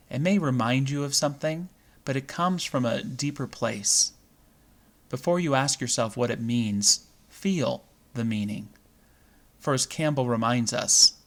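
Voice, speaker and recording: A man leading a guided visualization meditation, speaking in measured, deliberate phrases with pauses between them. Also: medium pitch.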